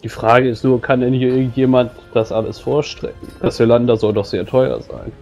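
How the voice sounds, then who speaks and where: A man's voice: mid-range voice, fairly quick, vocal fry, a little mellow, soft background music, natural voice. A man roleplaying a sceptical, secretive agent adventurer in a tabletop game, talking in a dry, chiding way.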